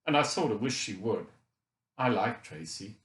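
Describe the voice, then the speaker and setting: A man's voice: lowish voice, expressive, normal speed, fairly bright tone, roomy sound. A man voicing an injured, frustrated boy who thinks aloud in a chatty, candid, colloquial way, as first-person audiobook narration. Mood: wistful. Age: child.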